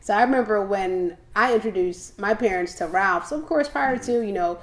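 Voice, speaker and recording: A young woman from Haiti co-hosting a casual chat with her husband; she laughs often and gently corrects him. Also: mid-range voice, normal speed. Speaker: podcast co-host. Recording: echoey room.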